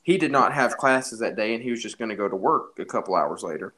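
A man's voice: lowish voice, lively intonation, fast talker, sharp tone, slight background noise. A young man casually telling an anecdote in an informal, jokey, exaggerating way. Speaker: storyteller in a podcast-style phone chat.

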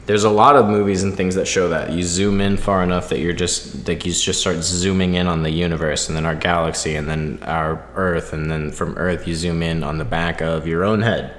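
Male podcast co-host, lowish voice, loose jokey rambling, audible hall reverb. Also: fairly even intonation, moderate pace, hardly any pauses, a bit bright, slight background noise. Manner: informal, swears, jokey. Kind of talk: casual chat, rambling.

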